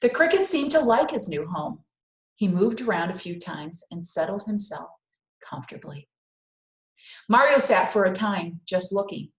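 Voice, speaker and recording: A woman reading a children's story aloud in a careful, expressive storytelling manner with dramatic pauses. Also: mid-range voice. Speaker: read-aloud host. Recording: roomy, echoing indoor sound.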